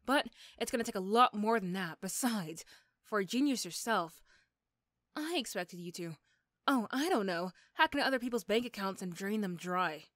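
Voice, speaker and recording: A sardonic female supervillain, acted by a woman for an ASMR roleplay, recorded close and dry. She is condescending and teasing, mean on the surface with grudging sympathy underneath, in a medium-pitched voice. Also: lively intonation, moderate pace, fairly bright tone, no echo, lightly acted. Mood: dry, skeptical. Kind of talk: ASMR roleplay character acting.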